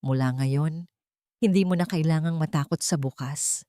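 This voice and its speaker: Soft emotional mom character in an audio drama, tender and grateful, narrator lady acting her, dry sound. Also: low-pitched, moderate pace, dry recording, close to an everyday voice. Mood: tender joy. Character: tender, grateful woman, former executive turned adoptive mother.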